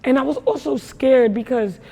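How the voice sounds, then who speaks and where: A young woman from New York with a naturally raspy voice, telling her story in a casual interview with emphatic, slangy talk. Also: sits high, moderate pace, mellow, big-room sound. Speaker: rapper guest.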